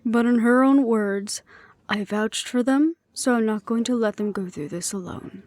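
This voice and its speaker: A woman playing a composed, dutiful middle-aged leader, speaking in a measured, reflective way in an audio roleplay. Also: on the high side, no echo, lightly acted. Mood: fond, proud. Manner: measured, reflective, quietly firm.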